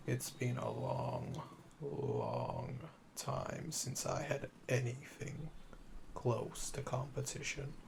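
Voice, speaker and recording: Man playing a slow, gravelly, seductive assassin. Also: medium pitch, wildly swooping pitch, a little hiss or hum, exaggerated voice. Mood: admiring, seductive. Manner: slow, deliberate, teasing, smug. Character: calm, flirtatious, obsessive male assassin under interrogation. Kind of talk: intimate ASMR audio roleplay.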